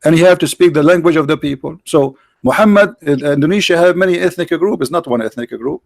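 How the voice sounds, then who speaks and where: A man's voice: a little high, vocal fry, no echo. Argumentative man. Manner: argumentative, repetitive, non-native grammar, slows down to stress points. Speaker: host debating a caller. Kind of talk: heated debate.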